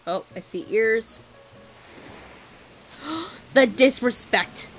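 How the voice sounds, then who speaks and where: A woman chats through her game stream with playful drama, pleading and protesting in a casual way. Her voice is getting worn out from hours of talking. Clear game music plays under her. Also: a little high, expressive, drawn-out words, poor audio. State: losing her voice. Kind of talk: casual gameplay commentary.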